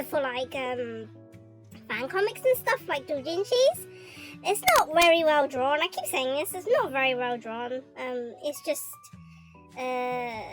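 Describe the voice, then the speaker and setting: A woman's voice: sits high, low-quality recording. A young woman streaming her art from her bedroom, chatting casually and rambling with lots of fillers, her throat still a little sore from a cough. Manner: rambling and hesitant, with many fillers. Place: bedroom at home.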